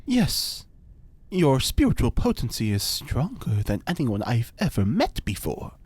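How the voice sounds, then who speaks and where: Audio drama actor as mystical scientist: slow, raspy delivery with swooping pitch, solemn and lofty. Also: huge pitch swings, a little mellow, exaggerated voice. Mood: awed, solemn. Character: solemn, mystical spirit-master scientist named Alex. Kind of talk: scripted audio drama acting.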